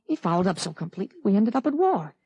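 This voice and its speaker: Casting: a self-assured, urgent teenage boy with a grown soldier's head, mid-range pitch, dry 1950s radio drama sound. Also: very animated, quick pace, a little mellow, breathy edge, no echo, lo-fi sound. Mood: confident, persuasive.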